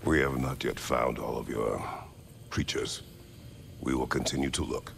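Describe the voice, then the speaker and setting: A grim warrior of few words for a video game. The voice is extremely low and thick with gravel. Each line lands blunt and formal, over faint ambience. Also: male voice, steady tone, a little slow, fairly bright tone, slight background noise, full character voice. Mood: stern. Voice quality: very deep, gravelly. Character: stern, taciturn male warrior. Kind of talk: video game character acting.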